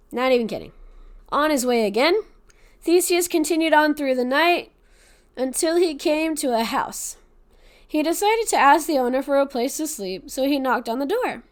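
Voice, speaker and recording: A woman hosting a podcast, casually retelling a Greek myth with profanity and sarcastic asides. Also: sits high. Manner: swears freely, laughs, adds sarcastic asides. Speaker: podcast host.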